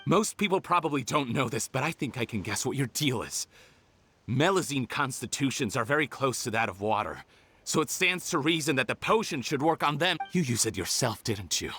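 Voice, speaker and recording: A man's voice: medium pitch, very animated, fairly quick, pushed and bright, husky, faint music behind, heavily acted. An actor playing a bitter, defensive young male criminal researcher in a video game, dramatic and emotional. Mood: bitter, defiant. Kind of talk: English video game voice acting.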